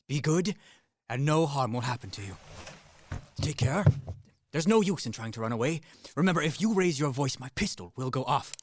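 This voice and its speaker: A man's voice: mid-range voice, expressive, lightly acted. Man playing cynical, threatening fugitive soldier. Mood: tense, threatening. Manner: brisk, commanding, sardonic. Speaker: actor in a student radio play. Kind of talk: radio play acting.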